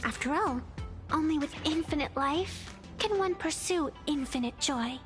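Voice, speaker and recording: Playful young trickster gal in a game, high voice, cool teasing, kinda philosophical, faint music. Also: female voice, a little slow. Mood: reflective. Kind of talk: video game voice acting.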